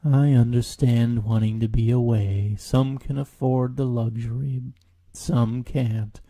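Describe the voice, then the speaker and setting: A gloomy gray storybook donkey, acted by a male narrator, speaks with slow, sighing resignation. The voice is husky and creaky, very muted in tone, as if nothing could ever cheer it. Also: mid-range voice, fairly even intonation, mellow. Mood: gloomy, resigned. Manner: slow, doleful, sighing delivery.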